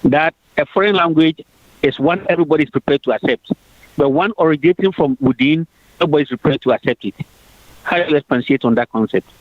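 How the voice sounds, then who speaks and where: A man's voice: a little high, quick pace, dry recording. Man, radio host, probing, challenging, phone-like dry audio. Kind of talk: radio panel discussion.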